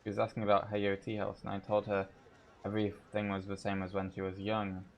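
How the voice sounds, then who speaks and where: A man's voice: fairly low pitch, completely flat delivery, brisk, creaky. A man doing casual live gameplay commentary as a streamer, reacting aloud and muttering to himself. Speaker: game streamer.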